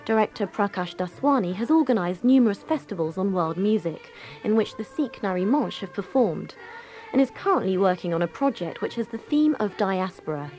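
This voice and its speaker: A woman's voice: medium pitch, darkish tone. A woman presenting a radio documentary, reading scripted narration.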